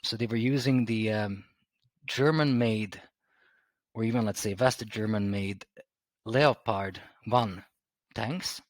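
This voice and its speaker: Hesitant low-voiced man explaining history. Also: fairly even intonation, takes it slowly, long pauses, dry recording. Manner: hesitant, many ums and restarts, searching for words. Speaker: history channel host. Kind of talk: casual explanatory talk to camera. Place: Stockholm.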